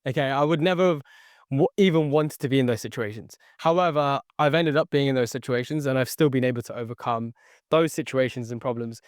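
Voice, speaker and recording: A young man, a podcast guest whose first language was Tagalog, tells his story earnestly and in repeated phrases, checking in with "okay". His voice is smooth and clear at a mid pitch. He is recorded dry and close. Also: brisk, no echo. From born near London, raised in the Philippines. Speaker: guest, sales entrepreneur. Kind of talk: podcast interview.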